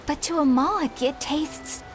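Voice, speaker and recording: A woman's voice: high voice, a little slow, faint music behind. A woman playing a proper, dutiful and stubborn young female warrior in a video game, with formal, earnest speech. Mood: prim, defensive. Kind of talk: English video game voice acting.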